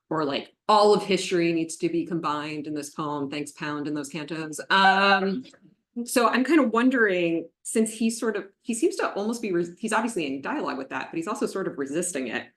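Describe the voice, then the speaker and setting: Woman interviewer with a lowish voice, curious and academic at a book talk. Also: fairly bright tone, echoey room. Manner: curious and probing, with a scholarly vocabulary. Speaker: interviewer at an author event. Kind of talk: literary interview with academic questions.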